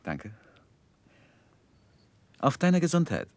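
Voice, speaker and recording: An actor playing an earnest, bashful and loyal young gentleman in a German radio drama from the 1960s, with hesitant, literary phrasing. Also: on the high side, huge pitch swings, takes it slowly, full character voice. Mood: curious. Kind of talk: 1960s German radio drama acting and first-person narration.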